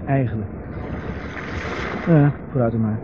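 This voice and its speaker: A man's voice: mid-range voice, a little slow, background noise, close to an everyday voice. An actor playing a reclusive, sardonic man in his thirties in a Dutch radio drama, dry and evasive. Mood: wry, evasive. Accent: Netherlands Dutch. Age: 30s. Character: reclusive, wry older brother living on an island. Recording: muffled, lo-fi vintage radio recording.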